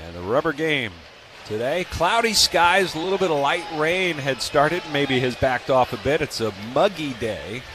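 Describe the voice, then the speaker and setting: A man's voice: on the high side, lively intonation, normal speed. An American man calling a baseball game live on the radio as the play-by-play announcer. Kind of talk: live sports commentary. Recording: background stadium noise on radio broadcast.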